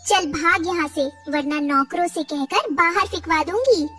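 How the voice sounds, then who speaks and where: A woman's voice: extremely high pitch, measured pace, sharp tone, recorded from a distance, exaggerated voice. A woman voicing a cruel, arrogant, bossy rich female bird in a cartoon. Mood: threatening. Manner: contemptuous, bossy.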